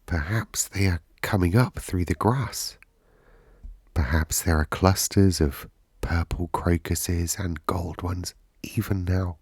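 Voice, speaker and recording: A man voicing a gentle young girl in a storybook, speaking slowly in a soft, soothing drone. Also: low voice, breathy edge, no echo, studio quality, heavily acted. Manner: slow, hushed, droning.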